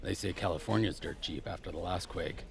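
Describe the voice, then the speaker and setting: A gruff old-school cop in a noir audio drama. The voice is very low, with heavy fry and gravel. He sounds world-weary but loyal. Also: fairly quick. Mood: grim warning.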